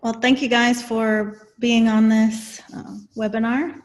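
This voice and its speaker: A woman in her forties speaks into a computer mic as she opens an online spiritual session. Her voice sits a little high, and she talks very slowly. She guides listeners with soft, halting reassurance. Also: flat delivery. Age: 40s. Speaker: spiritual channeler hosting a webinar. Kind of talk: introduction to a webinar.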